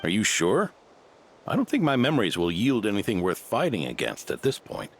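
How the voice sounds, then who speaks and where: An actor playing a gruff, fatherly older male knight with a gravelly voice, in an anime-style game. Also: on the low side, huge pitch swings, fairly quick, slight background noise. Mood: resigned.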